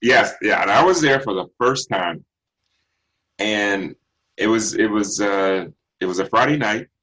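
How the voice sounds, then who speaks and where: Deep bass baritone guy from texas, middle-aged singer, thinks out loud with lots of ums. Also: poor audio. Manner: unhurried, reflective, frequent ums and restarts. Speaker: singer guest. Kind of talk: interview answers.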